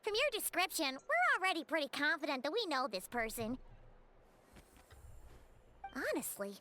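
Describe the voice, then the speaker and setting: A tiny floating fairy girl who chatters and teases in a piercing, sky-high voice that swoops all over. Her tone is bright and sharp. Soft game music plays underneath. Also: wildly swooping pitch, fast talker, slight background noise, exaggerated voice. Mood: reassuring. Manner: chatty, teasing, scolding.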